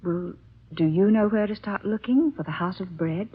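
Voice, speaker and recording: An actress playing a devoted, adventurous wife in a 1940s radio drama, with a tender, earnest, theatrical delivery. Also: female voice, mid-range voice, moderate pace, slightly rough, close to an everyday voice. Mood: eager, devoted. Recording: muffled vintage radio recording.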